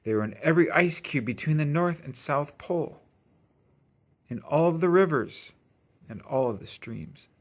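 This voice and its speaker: A man reading a rhyming children's picture book aloud in an expressive, sing-song storytelling manner. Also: a little high, normal speed. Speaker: storybook reader.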